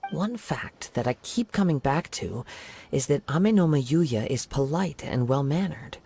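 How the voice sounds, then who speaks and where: A man voicing a calm young wandering samurai in a video game, speaking in a measured, thoughtful way. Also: fairly high pitch, gravelly, husky, faint music behind, heavily acted. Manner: measured, thoughtful and soft-spoken. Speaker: investigating hero.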